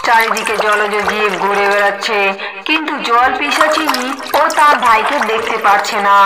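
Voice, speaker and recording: Woman storyteller, somewhat high voice, scripted cartoon horror narration, very noisy background ambience.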